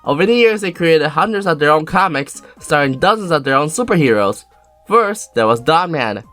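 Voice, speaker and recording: Lively storybook narrator with animated swings. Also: medium pitch, normal speed. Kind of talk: storybook narration read aloud for a fan dub. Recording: music behind the voice.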